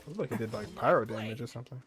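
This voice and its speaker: Casual male gamer, mid-pitched voice. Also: very fast. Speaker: gaming streamer. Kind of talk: casual game playthrough commentary.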